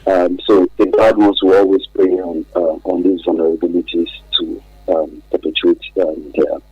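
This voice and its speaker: A man with a Nigerian accent and a husky voice explaining things haltingly as a guest in a radio interview, repeating phrases for emphasis. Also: deep voice, little pitch movement, lo-fi sound, soft background music. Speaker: studio guest on a radio programme.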